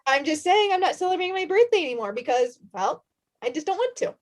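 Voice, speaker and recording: A woman's voice: extremely high pitch, very animated, fast talker. A woman in her thirties, a podcast host chatting casually and telling stories. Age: 30s. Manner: chatty, storytelling, laughs often. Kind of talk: casual chat.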